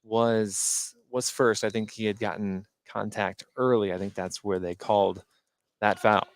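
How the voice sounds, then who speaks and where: A man working as color commentator on a live basketball broadcast, analysing in a conversational, somewhat halting way as he thinks aloud. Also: fairly low pitch, steady tone.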